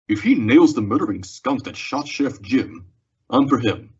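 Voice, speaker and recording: Man acting a very raspy, excitable townsman. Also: mid-range voice, moderate pace. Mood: eager, folksy. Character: excitable male Old West townsman reading newspaper aloud. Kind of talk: old-time radio western acting. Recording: dull lo-fi audio.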